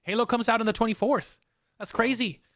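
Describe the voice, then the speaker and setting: A man hosting a casual podcast, talking excitably and repeating phrases for emphasis. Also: extremely high pitch, brisk, no echo, clean studio sound. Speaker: podcast host.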